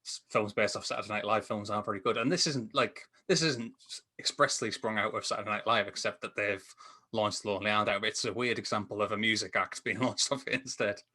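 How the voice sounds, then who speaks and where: A man chatting casually as a film review panellist, in a halting way peppered with "like". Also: lowish voice, pushed and bright.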